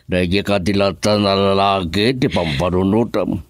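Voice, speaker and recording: A man's voice: low-pitched, steady tone, normal speed, dry recording, natural voice. An actor playing a patient middle-aged father with an Ilocano accent in a radio drama. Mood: consoling, concerned. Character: patient, consoling older father. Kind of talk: Filipino radio drama acting.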